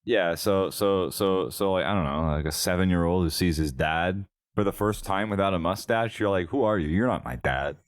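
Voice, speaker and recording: A man's voice: low-pitched, normal speed, dry recording. A man co-hosting a podcast, bantering in a jokey, rambling way with frequent restarts. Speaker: podcast co-host. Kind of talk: casual banter.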